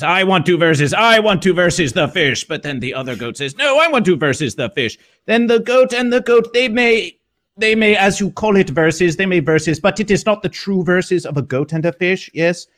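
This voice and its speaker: Man playing eccentric, repetitive high-voiced mascot character. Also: expressive, moderate pace, a bit bright. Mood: gleeful, earnest. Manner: stilted foreign-style phrasing, repeats phrases, exclaims yes. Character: eccentric celebratory convention mascot persona, speaking of himself in third person. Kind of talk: in-character improvised interview.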